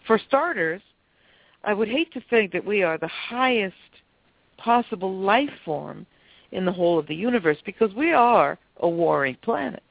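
A woman with a stuffed-up cold speaks in a gravelly, dry voice, steadily explaining her ideas. She comes through a narrow, tinny phone line. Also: medium pitch, expressive, normal speed, no room sound. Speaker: author and psychic guest. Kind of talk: phone interview, explanatory talk.

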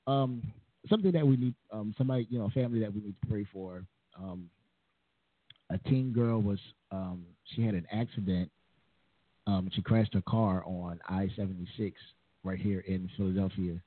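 A pastor in his forties hosting a casual talk show, chatty and quick to laugh, on a tinny, dry recording. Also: on the low side, dry recording. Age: 40s. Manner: chatty, laughs often, repeats phrases. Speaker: pastor and host. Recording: tinny phone-like, dry sound.

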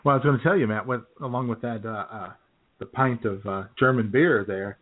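A man's voice: mid-range voice, brisk. Joking male radio co-host, phone-like sound. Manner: joking, laughs often while talking. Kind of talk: casual comedic banter. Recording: thin phone-like internet radio audio.

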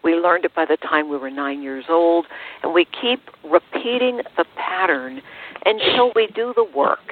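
A middle-aged woman hosting a conversational interview, speaking in a measured, affirming way. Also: fairly low pitch, narrow, tinny audio. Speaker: host, relationship therapist.

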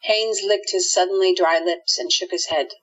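Female drama narrator: lowish, husky voice, scripted storytelling, slightly muffled audio. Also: steady tone, moderate pace, fairly bright tone. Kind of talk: scripted radio play narration.

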